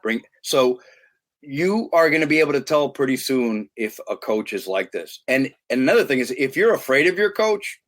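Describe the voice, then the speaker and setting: A man's voice: medium pitch, sing-song, moderate pace, a bit bright. Earnest middle-aged man with restarts. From United States. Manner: earnest and emphatic, with frequent restarts. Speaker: guest, youth sports consultant. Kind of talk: podcast interview talk.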